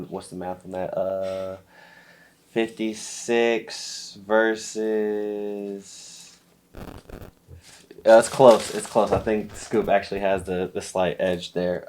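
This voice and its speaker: A man co-hosting a casual fantasy football show, sounding stuffy from allergies, relaxed with many fillers and small laughs. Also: lowish voice. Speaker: podcast co-host.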